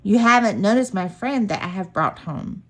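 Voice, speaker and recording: Mischievous young male cub for a storybook, confident, teasing, very animated pitch played by a woman. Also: moderate pace.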